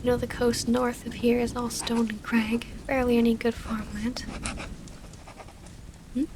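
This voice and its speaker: Woman acting a soft-whispering, refined female vampire. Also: a little high, slight background noise, close to an everyday voice. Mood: gentle, reserved. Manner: soft, breathy half-whisper, formal old-fashioned diction. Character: gentle, formal female vampire noble, wounded but devoted lover. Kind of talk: intimate ASMR roleplay audio drama.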